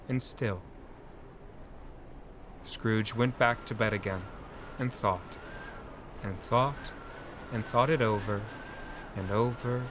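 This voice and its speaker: A man narrating a scripted dramatic story in an expressive, deliberate way. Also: lowish voice, noisy background.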